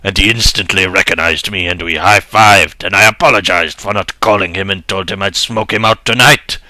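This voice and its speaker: A man's voice: low voice, normal speed, sharp tone, no echo, poor audio. A young man giving a dramatic first-person reading of a story in a mock-serious, earnest manner.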